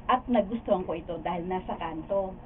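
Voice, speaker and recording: A woman's voice: fairly low pitch, a little fast, natural voice. A woman with a Filipino accent playing a friendly, proud housewife in a radio drama rehearsal. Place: radio recording studio. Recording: tinny, band-limited studio footage with faint noise.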